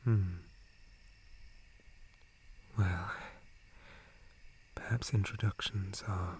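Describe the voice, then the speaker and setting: A man voicing a gentle, polite dryad guardian in a breathy, formal whisper for an ASMR roleplay. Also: low voice, steady tone, slow, slight background noise. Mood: gentle, concerned. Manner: formal, courteous, hesitant, softly hushed. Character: gentle, polite male dryad, forest guardian tree spirit.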